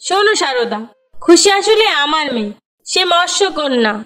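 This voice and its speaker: A woman playing a solemn mermaid mother in a children's cartoon, measured and firm. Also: extremely high pitch, sing-song, fairly bright tone, soft background music, full character voice. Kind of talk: Bengali children's cartoon voice acting.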